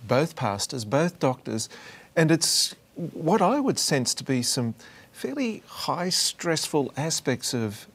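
Lively-voiced male interview host. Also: fairly high pitch, slow pace, breathy edge. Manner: friendly, affirming with short acknowledgements. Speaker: program host. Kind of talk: studio interview.

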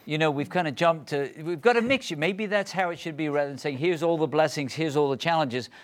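A man hosting a conversational faith interview, speaking in a reflective, measured way. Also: a little high. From born in England. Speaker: interview host.

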